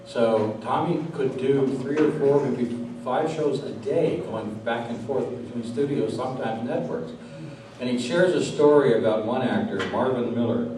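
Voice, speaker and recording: Man, onstage interviewer, medium pitch, strong room echo, questioning a guest. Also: normal speed. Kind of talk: live onstage interview before an audience. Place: large room before an audience. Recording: very echoey hall, distant, clear background noise.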